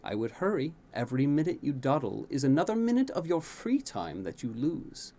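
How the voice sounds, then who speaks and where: A man voicing a strict, emotionless female tutor who speaks flatly and deliberately. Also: fairly high pitch. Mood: stern. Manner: flat, stern, deliberate.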